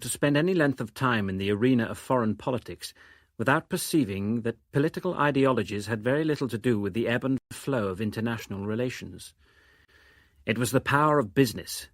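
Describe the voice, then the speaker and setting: A man narrates literary prose from a script. His voice sits at an ordinary male pitch. The recording is dry and close, with very little room sound. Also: no echo. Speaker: reader of novel extracts.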